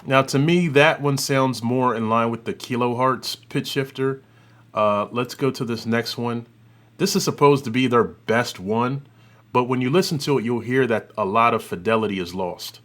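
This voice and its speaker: A man hosting an audio software tutorial, giving an informal, unscripted demonstration and thinking aloud as he works. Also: mid-range voice, moderate pace. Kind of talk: informal demonstration and review commentary.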